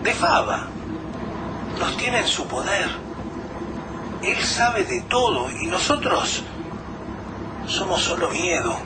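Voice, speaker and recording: A man with a Rioplatense accent plays an anxious survivor in a radio drama, talking in quick urgent bursts. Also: high-pitched, very animated, heavily acted. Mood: suspicious. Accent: Rioplatense Spanish. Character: tense male survivor giving directions. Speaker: Lucas, a survivor. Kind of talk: amateur radio drama acting. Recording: distant mic with background noise.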